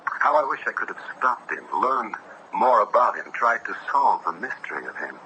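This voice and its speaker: An actor playing an earnest, compassionate young judge in a 1970s radio drama, courtly, formal and intense. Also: male voice, on the low side, sing-song, normal speed, bright, forceful tone. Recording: noisy vintage radio broadcast.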